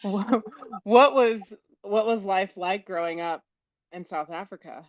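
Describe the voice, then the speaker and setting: A woman interviews a guest as a podcast host. Her style is casual and conversational, with a mid-range pitch. The recording sounds slightly dull and lo-fi. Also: measured pace.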